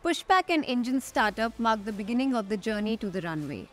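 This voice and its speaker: A young woman with an Indian accent hosts as an airline pilot. Her voice sits a little high, and she delivers scripted questions and explanations over background music. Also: very animated, a little fast. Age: young adult. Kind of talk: scripted interview hosting and presenting. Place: large glass-walled airport tower room. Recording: music under the voice.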